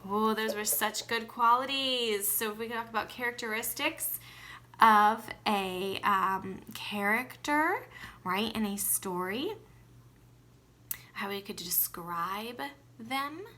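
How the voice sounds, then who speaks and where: A woman teacher reading a storybook aloud expressively, with deliberate emphasis and asides to children. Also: fairly high pitch, sharp tone, slight background noise.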